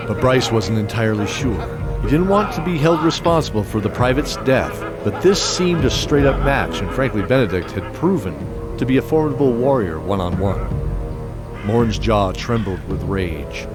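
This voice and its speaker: A man narrating an audio drama in a measured, descriptive storytelling manner. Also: mid-range voice, animated. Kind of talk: scripted narration. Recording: music bed under voice.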